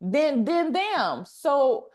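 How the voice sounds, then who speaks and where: A woman hosting a podcast, chatting casually and motivationally in an animated way, repeating phrases for emphasis and acting out little quotes. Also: high voice, extremely slow. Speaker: podcast host.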